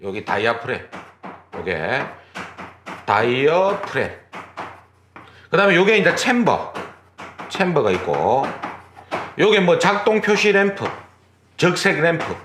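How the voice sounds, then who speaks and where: A man teaching a certification exam class, his pitch rising and falling widely as he walks through each step. He repeats key terms, points at his drawing, and checks that students follow. The room is echoey, and his voice sits slightly high. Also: big pitch swings, slow, long pauses, noisy background. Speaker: exam prep instructor. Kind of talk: lecture, drawing on a board.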